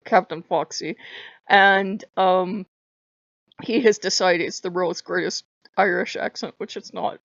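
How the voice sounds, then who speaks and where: A woman with a high voice, talking casually to camera in a chatty, rambling way with frequent laughs. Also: creaky, no room sound, highs cut off. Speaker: spiritual vlogger.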